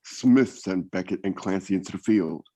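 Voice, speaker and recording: A man's voice: sits low, moderate pace, mellow. A man playing a young, agitated junior agent, reading in an amateur readers theater over a video call. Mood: worried. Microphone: video or conference call.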